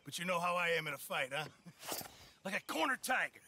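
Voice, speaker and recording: A blustering fat camp fellow in a game cutscene, eager to please and rambling. His voice is rather high and extremely bright, with faint noise behind. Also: very animated, brisk, full character voice. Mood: nervous bluster. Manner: boastful, rambling, nervous. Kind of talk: dramatic video game acting.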